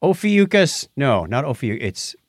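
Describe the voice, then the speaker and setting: Lively, joking male podcast co-host. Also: mid-range voice, normal speed, no echo, clean studio sound. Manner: jokey, wisecracking. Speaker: co-host of a science news podcast. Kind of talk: casual banter and news commentary.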